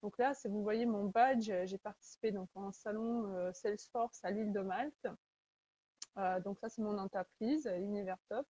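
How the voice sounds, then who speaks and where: A woman's voice: medium pitch, moderate pace, mellow, slightly rough, no room sound. A woman, hosting a webinar in an earnest, conversational way and often searching for her words. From Kazakhstan. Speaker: webinar host, Salesforce consultant. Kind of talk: webinar presentation.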